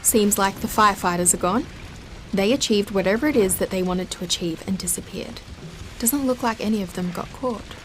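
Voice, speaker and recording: Woman acting a calm, enigmatic ghost, mid-pitched. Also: a little fast, a little hiss or hum, lightly acted, poor audio. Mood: matter-of-fact. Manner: calm, matter-of-fact. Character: Laura, calm enigmatic female ghost, quietly reasoning. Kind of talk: scripted audio drama dialogue.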